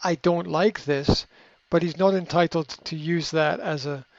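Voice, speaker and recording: A man giving unscripted coaching advice in a reflective way, often pausing with fillers and restarting his sentences. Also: a little high, steady tone. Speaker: coach answering a question. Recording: dry, slightly muffled recording.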